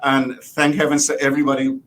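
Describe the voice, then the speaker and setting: A man's voice: monotone, brisk, a bit bright, low-quality recording. A middle-aged man with a Puerto Rican accent chatting casually as an interview guest, with hesitant repeats. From Puerto Rico. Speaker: guest, biology professor. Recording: rumbly background noise.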